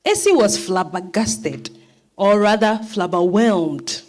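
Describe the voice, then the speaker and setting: A woman with a Ghanaian accent giving expressive scripted narration as storyteller in a dramatized stage reading. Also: medium pitch, slow.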